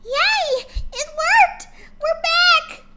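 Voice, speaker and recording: A woman voicing a hyper, bubbly young female pony who squeals and bounces with excitement. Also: very high voice, very slow, very noisy, voice pushed up, heavily acted. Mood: ecstatic.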